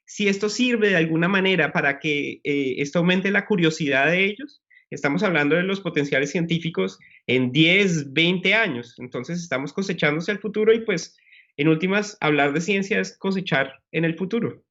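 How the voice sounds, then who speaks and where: A man, a scientist guest, explaining science in a measured way during a live news chat. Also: on the high side, fairly bright tone. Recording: muffled broadcast audio.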